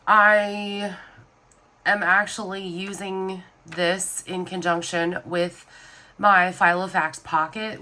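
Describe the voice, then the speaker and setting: A young woman with a Southern US accent chats casually while stuffed up with a cold, her voice nasal and sniffly. She speaks slowly, rambling with little energy, in a somewhat low, bright tone. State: sick with a stuffy nose, sniffling. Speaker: planner vlogger. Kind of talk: casual chat.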